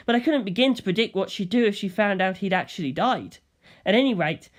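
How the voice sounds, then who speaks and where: A woman narrating first-person fiction in a dramatic audiobook reading style. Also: on the low side.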